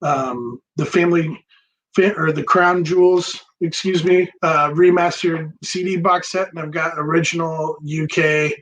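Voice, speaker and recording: A man hosting a casual chat about records, relaxed and rambling with many pauses and ums. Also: on the high side, low-quality recording. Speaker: record store host.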